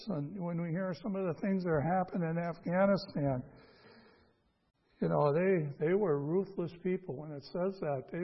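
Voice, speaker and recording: A man preaching a conversational sermon in a folksy, halting way, repeating phrases and asking rhetorical questions. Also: fairly high pitch, dull audio. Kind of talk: conversational sermon with scripture reading.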